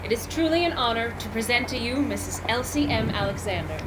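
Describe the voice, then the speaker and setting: A woman's voice: fairly high pitch, expressive, brisk, natural voice. A woman playing a gracious 1940s radio interviewer in a formal announcer manner. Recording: noisy live event recording.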